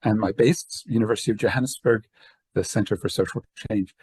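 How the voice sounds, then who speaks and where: A man's voice: fairly low pitch, fairly quick, a little mellow, slightly rough, no echo. An older man from Northern Ireland, raised in the southern United States, giving a conference talk over a video call in discursive, hesitant phrasing. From born in Northern Ireland, raised in the southern United States. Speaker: academic and activist giving a talk. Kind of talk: semi-formal conference presentation.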